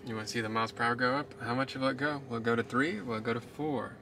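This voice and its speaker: A man's voice: mid-range voice, fast, sharp tone, slight background noise. A young man chatting and joking casually with his travel companion, outdoors and in a car. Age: young adult. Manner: joking, easygoing. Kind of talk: casual chat. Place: outdoors at a windy viewpoint and in a car.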